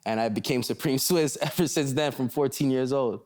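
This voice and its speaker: A man's voice: medium pitch, brisk, darkish tone. A young man from Canada, a rapper, chatting loosely in an interview and often checking in with "you know". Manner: laid-back, loose, repeats "you see what I'm saying". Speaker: rapper guest. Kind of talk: casual interview chat.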